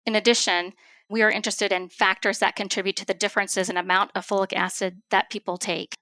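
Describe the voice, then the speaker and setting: A woman speaking as an expert physician guest in an interview, explaining things in a measured, formal and precise way. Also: mid-range voice, flat delivery, sharp tone, no echo.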